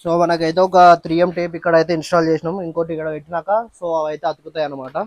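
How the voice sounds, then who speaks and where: A man's voice: fairly high pitch, flat delivery, rapid-fire, a little mellow, no echo. A man with a Telangana Telugu accent casually talking through a hands-on task in an informal vlog, explaining it step by step. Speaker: motovlogger.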